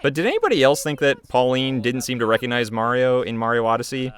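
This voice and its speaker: A man's voice: medium pitch, animated, fast, soft background music. A man giving casual, off-the-cuff commentary as a video creator, chatty and rambling.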